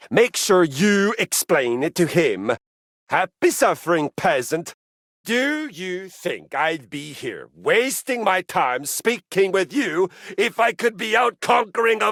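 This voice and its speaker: Bombastic warrior brute, wildly swooping delivery. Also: male voice, fairly high pitch, creaky, fairly bright tone, dry recording, clean studio sound, full character voice. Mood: gloating, scornful. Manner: bombastic, domineering, scornful. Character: huge pompous bearded warrior brute preaching painful exercise. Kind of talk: video game character acting.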